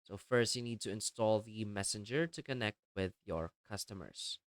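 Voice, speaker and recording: A man narrating a step-by-step software tutorial in a plainly explanatory, instructional way. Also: fairly low pitch, moderate pace, no echo, studio quality. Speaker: tutorial presenter.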